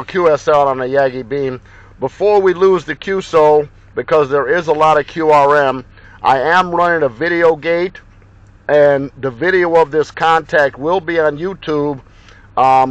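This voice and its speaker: A man from Ohio talks into a handheld mic from inside his car, running a radio contact in crisp procedure. The sound is dry and close, slightly dull in its top end. His voice sits a touch high for a man. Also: moderate pace, no room sound. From Ohio, USA. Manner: crisp radio procedure, spelled call signs. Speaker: CB radio operator.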